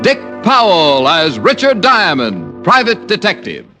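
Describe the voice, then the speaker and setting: A man announcing a 1950s radio show and commercial in a booming, emphatic way. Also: huge pitch swings, a little slow. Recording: old radio broadcast over band music.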